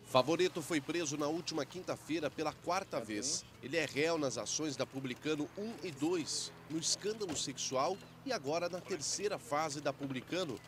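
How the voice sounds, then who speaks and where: A man's voice: fairly high pitch, normal speed, a little hiss or hum. A man with a Brazilian Portuguese accent reading a news report voice-over in a formal newsreader tone.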